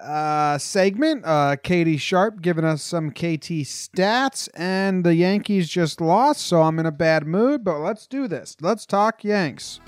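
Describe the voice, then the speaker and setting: A man's voice: high-pitched, expressive, takes it slowly, hardly any pauses, smooth, no echo, studio quality. An American man talking casually and bluntly as the host of a sports podcast, with emphatic delivery.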